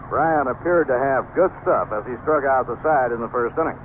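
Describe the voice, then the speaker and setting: A man's voice: medium pitch, brisk. Tinny old-radio American baseball announcer, man. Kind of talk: live radio sports commentary. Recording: noisy, band-limited old radio broadcast.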